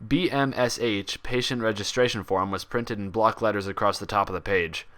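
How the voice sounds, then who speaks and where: A man reading fan fiction as scripted story narration, with expressive, dramatic delivery. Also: on the low side, normal speed.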